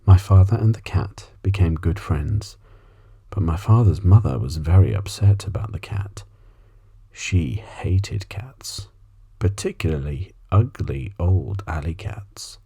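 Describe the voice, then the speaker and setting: A man softly reading a bedtime story as ASMR narration, gentle and unhurried, with a breathy, husky voice. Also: deep voice, animated. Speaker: audiobook reader.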